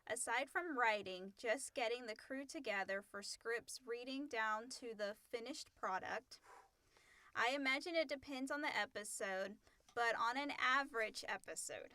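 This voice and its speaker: A woman's voice: on the high side, unhurried, bright, forceful tone, no echo. A woman co-hosting a casual question and answer podcast, speaking in an informal conversational style. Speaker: podcast co-host reading listener questions. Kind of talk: casual Q&A chat with read-out questions.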